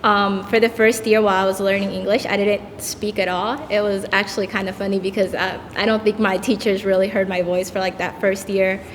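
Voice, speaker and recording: A woman's voice: mid-range voice, little pitch movement. Woman panelist, native Spanish speaker, earnest, in a reverberant hall with faint noise. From El Salvador. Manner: earnest, pauses with fillers. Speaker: tutor on a panel. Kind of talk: conference panel talk.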